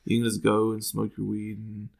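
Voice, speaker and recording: A man's voice: on the low side, completely flat delivery, moderate pace, vocal fry, a little mellow. A man co-hosting a podcast in a laid-back, rambling, colloquial chat. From small town in the US. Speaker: podcast co-host. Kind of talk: casual chat.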